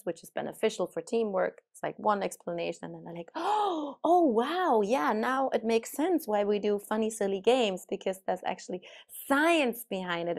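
Chatty woman on a video call. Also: mid-range voice. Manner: animated, chatty, many fillers and restarts. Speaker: guest, neuroscientist. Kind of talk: casual video-call conversation.

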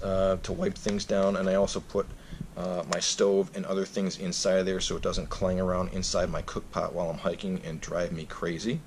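Low-voiced man talking through his gear. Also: a little hiss or hum. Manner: relaxed, plain-spoken, frequent fillers. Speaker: backpacking vlogger. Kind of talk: casual gear walkthrough. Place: indoors, carpeted room.

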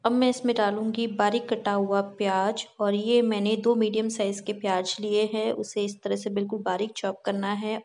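A woman's voice: medium pitch, darkish tone. A young adult woman narrating a recipe step by step in a friendly way, hosting her own cooking channel, mixing English words into Hindi. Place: home kitchen.

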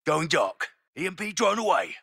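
Tough British special forces soldier for a shooter game. Gravelly, very forceful, terse and commanding. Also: male voice, on the high side, sing-song, moderate pace, no echo, studio quality, exaggerated voice. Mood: low, controlled. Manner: clipped, commanding, terse radio-style callouts. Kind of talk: video game military combat barks.

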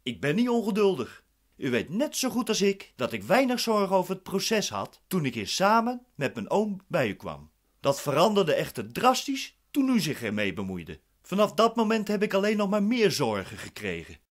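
An actor playing a dissatisfied defendant in a radio play, formal, assertive and politely cutting. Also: male voice, high voice, huge pitch swings, moderate pace, exaggerated voice. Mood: defiant.

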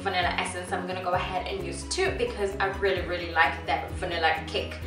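A woman's voice: lowish voice, little pitch movement, quick pace. Chatty young woman in a roomy kitchen. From South Africa. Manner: chatty, upbeat, laughs often, talks to her toddler. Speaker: cooking vlogger. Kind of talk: casual cooking tutorial. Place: home kitchen, indoors. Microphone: camera on tripod at a distance. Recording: roomy kitchen sound, from a distance, music behind.

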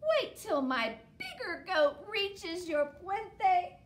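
A woman's voice: very high voice, sing-song, drawn-out words, pitched above the performer's own voice, exaggerated voice. Woman doing a tiny polite billy goat. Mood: pleading. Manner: bright, pleading and polite. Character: tiny clever young male goat, polite. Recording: roomy echo.

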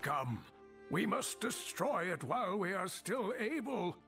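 An older actor playing a grave, weary old scholar and mentor in a video game, speaking in a solemn, halting way. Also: male voice, high voice, sing-song, gravelly, fairly bright tone, faint music behind, exaggerated voice. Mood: grave urgency. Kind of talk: dramatic video game dialogue.

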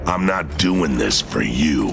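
An actor playing a grim, terse soldier who speaks in short, sardonic lines in a game cutscene. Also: male voice, extremely low pitch, animated, takes it slowly, over music, full character voice. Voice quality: gravelly, terse. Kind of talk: video game cutscene acting.

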